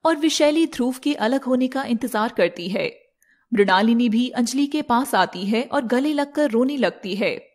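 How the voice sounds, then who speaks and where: A woman narrating a scripted animated fairy tale as storyteller, with noise behind her. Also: on the high side, measured pace.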